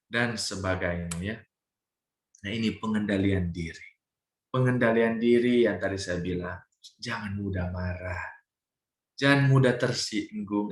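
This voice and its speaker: A man with a Manado accent speaks in an echoing room, giving faith-based health advice like a preaching doctor. His delivery swings widely in pitch and is emphatic, with drawn-out key phrases. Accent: Manado Indonesian. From Manado, North Sulawesi, Indonesia. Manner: emphatic, slows and pauses on key phrases, repeatedly checks in with "ya". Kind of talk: religious health talk, preaching. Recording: roomy, echoing sound.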